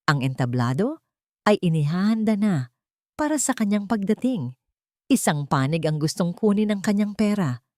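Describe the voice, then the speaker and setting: A woman's voice: mid-range voice, sing-song, normal speed, darkish tone, no room sound, studio quality. A woman reading scripted, dramatic story narration.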